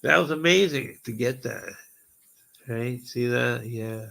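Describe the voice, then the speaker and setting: A man with a Canadian accent hosting a rambling, excitable live stream chat, his voice going hoarse. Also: medium pitch, slow pace. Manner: rambling, excitable, exclaims often.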